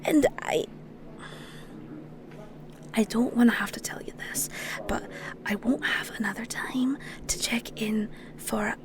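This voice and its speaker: A woman's voice: fairly high pitch, lightly acted. A woman playing a flustered, sweet young Scottish shepherdess in an intimate audio roleplay, bashful, stammering and rambling. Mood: apologetic, pleading.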